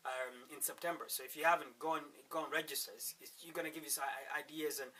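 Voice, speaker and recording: A man with a Ugandan accent giving an emphatic motivational pitch as a workshop speaker, with rhetorical turns and repeated words. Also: steady tone, fast talker, bright, forceful tone, far from the mic, a little hiss or hum, poor audio. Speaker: wealth-creation workshop speaker. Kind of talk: motivational sales pitch to camera.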